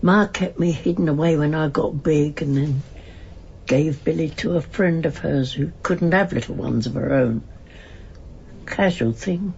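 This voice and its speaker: An actress playing an elderly working-class mother, wistful and devoted, speaking deliberately in a naturalistic radio drama. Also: deep voice, gravelly, darkish tone, highs cut off, slight background noise. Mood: tender, grieving. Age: older.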